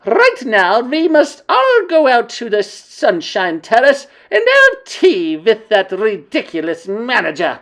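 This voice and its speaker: Shrill, domineering witch queen for a storybook read-aloud, very high and loud, slow drawn-out commands. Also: huge pitch swings, heavily acted. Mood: commanding. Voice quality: screeching, commanding.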